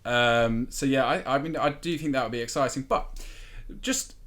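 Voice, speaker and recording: A man's voice: medium pitch, moderate pace, a bit bright. A man speaking as a guest pundit in a casual, conversational football debate.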